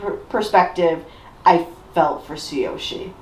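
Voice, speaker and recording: A woman's voice: on the low side, slow pace, a little mellow, slight background noise. A middle-aged woman chatting casually as co-host of a fan discussion show. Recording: echoey room.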